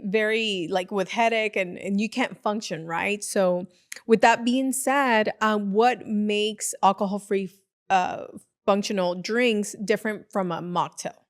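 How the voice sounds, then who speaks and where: A woman's voice: medium pitch, fairly even intonation, unhurried. A woman hosting a podcast, upbeat and chatty, often reacting with enthusiastic interjections. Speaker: podcast host. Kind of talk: casual interview.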